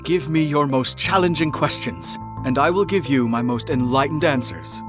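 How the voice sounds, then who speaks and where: An actor playing a haughty, formal alien prince in an audio drama, declaiming in a pompous, elaborate manner. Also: male voice, a little high, moderate pace, music in the background, natural voice. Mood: skeptical, lofty.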